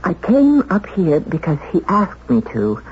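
A woman playing a flustered young secretary under suspicion in a 1970s radio drama, halting and emphatic as she protests. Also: on the low side, wildly swooping pitch. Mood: flustered, defensive. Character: flustered young female secretary accused of murder. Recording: dull, hissy vintage radio recording.

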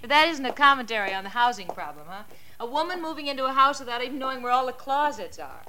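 Casting: fretful, affectionate wife in old radio drama, fairly high sharp voice, noisy vintage recording. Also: female voice, animated, quick pace. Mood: busy, coaxing. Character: fussy, anxious young housewife, chatty and affectionate. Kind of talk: 1940s radio drama acting.

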